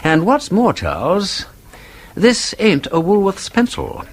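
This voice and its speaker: An actor playing a witty, talkative aristocratic sleuth in a radio drama, with an upper-class English accent and a playfully pedantic, theatrical manner. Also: male voice, high-pitched, huge pitch swings, slow, exaggerated voice. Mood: breezy, amused. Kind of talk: British radio drama acting.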